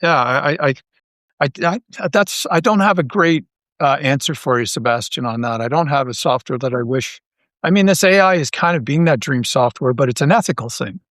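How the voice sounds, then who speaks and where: A man's voice: medium pitch, moderate pace, fairly bright tone, no room sound, studio quality. A man speaking casually as an interview guest, thinking aloud in a rambling way with false starts and repeated words. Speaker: interview guest, audio drama writer and creator.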